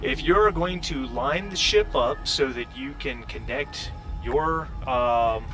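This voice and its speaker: A man acting as game master in a tabletop role-playing game, explaining rules and scenes in a halting way with repeated starts. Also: mid-range voice, a bit bright, soft background music. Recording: faint background noise.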